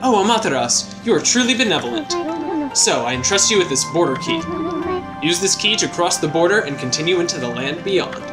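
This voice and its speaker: A gentle queen speaks in formal, earnest sentences, as a man puts her on. Game music fills the background. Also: high-pitched, very animated, brisk.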